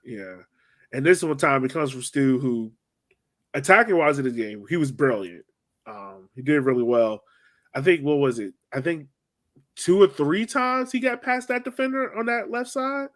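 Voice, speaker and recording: A man's voice: a little high, lively intonation, moderate pace. A man co-hosting a soccer podcast, talking through a match in an informal, slangy way full of "like". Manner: informal, slangy, filler-heavy with frequent "like". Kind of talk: casual chat, sports match recap.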